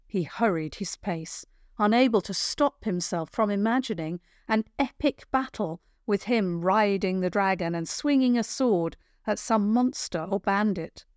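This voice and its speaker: A woman narrating a scripted children's adventure story in a measured, expressive storytelling manner. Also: medium pitch, no echo, studio quality.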